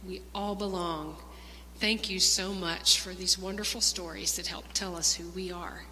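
Bright-voiced woman addressing children in church. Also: lowish voice, steady tone, measured pace. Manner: simple, conversational phrasing for children. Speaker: church children's minister leading children's moment. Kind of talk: children's talk and prayer in a church service. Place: large church sanctuary. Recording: reverberant church hall, humming background noise.

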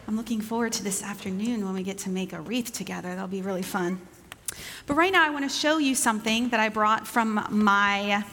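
A woman speaks to young children in a church with long reverb. Her voice is a bit high, and she talks gently and informally, pausing between simple phrases. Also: slight background noise. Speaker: church leader giving children's moment. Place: large indoor church.

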